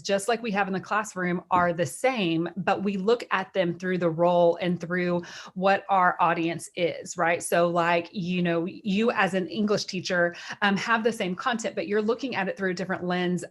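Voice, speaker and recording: Middle-aged woman, Texan accent, lowish voice, chatty webinar talk, lots of ums. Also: fairly even intonation, normal speed. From Texas. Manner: chatty, many fillers and restarts. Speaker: webinar co-presenter. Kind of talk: casual webinar presentation.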